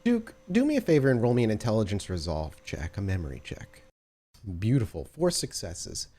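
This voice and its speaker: A man's voice: lowish voice, very animated, moderate pace, warm tone. A man narrating an improvised tabletop game as game master, descriptive and conversational.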